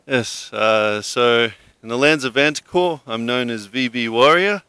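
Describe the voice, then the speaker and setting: A young man speaks haltingly into a handheld mic outdoors, leaning on "you know". His mid-pitched voice is bright and clear. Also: dry recording. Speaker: interviewee, veteran larp player. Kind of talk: casual interview answer. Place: outdoors at a convention.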